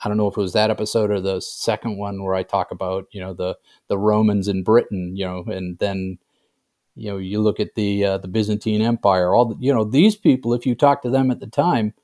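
Older man, interview guest, slightly low pitch, conversational, explanatory, frequent check-ins. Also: steady tone, brisk, mellow. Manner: conversational, often checks with "right?". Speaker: author being interviewed. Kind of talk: casual interview chat.

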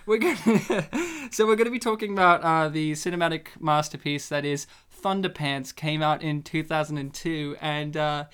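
A man's voice: on the high side, moderate pace, few pauses, a bit bright, clear, resonant tone. A man hosting a casual movie podcast, talking in a jokey, rambling way and laughing often. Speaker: podcast host.

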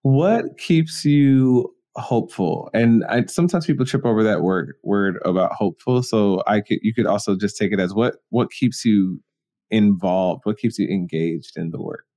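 A man with a somewhat low voice hosts an interview. He asks his questions haltingly, restarting and rephrasing them, with many small fillers along the way. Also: warm tone. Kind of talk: casual interview.

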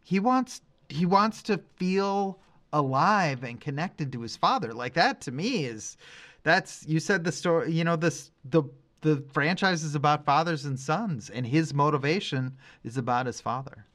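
A man's voice: on the high side, animated, a little slow, a bit bright. A man co-hosting a podcast, discussing film casually, making his points emphatically and explaining as he goes. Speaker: podcast co-host.

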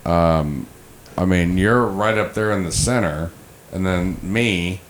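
Slow-talking low-voiced man, lively pitch. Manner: profane, laughs a lot, teasing. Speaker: host. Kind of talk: casual chat.

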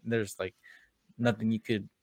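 A man's voice: lowish voice, little pitch movement, unhurried, vocal fry, darkish tone, dry recording. Stammering young man host, dry sound. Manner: stammering restarts, curious, lots of "like". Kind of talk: casual interview chat.

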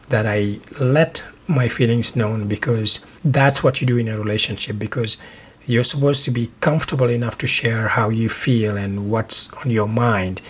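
A middle-aged man from Senegal hosting a podcast, giving earnest relationship advice in a measured way with small restarts. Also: on the low side, breathy edge. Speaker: podcast host.

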